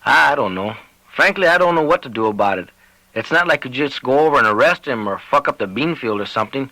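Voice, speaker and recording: A man's voice: medium pitch, lively intonation, quick pace, pushed and bright, no room sound, pitched above the performer's own voice, rough recording. A man voicing a weary small-town sheriff in an audiobook, folksy and uncertain. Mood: worried.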